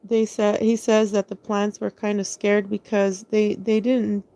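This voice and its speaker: A woman's voice: medium pitch, little pitch movement, unhurried, warm tone, dry recording. A woman telling her own story in a casual, rambling monologue, hesitating often, restarting sentences and spelling out words letter by letter.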